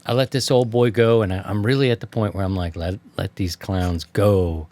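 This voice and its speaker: A man's voice: fairly low pitch, fairly even intonation, normal speed, darkish tone, no room sound. An American man hosting a casual radio hobby talk show, telling stories in a slangy way with playful mock insults. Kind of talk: casual chat.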